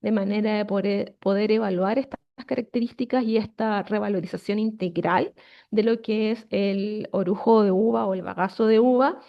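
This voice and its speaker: A woman researcher gives an online conference talk, explaining steadily and pausing often on "eh". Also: mid-range voice, creaky, lo-fi sound. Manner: steady explanatory, frequent "eh" fillers. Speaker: researcher presenting.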